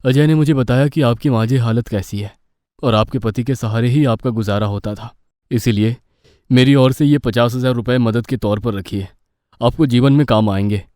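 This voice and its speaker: A man voicing a kind, sympathetic building owner in an animated moral story, with a gentle, solemn and polite way of talking. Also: mid-range voice, moderate pace, no echo, studio quality, natural voice.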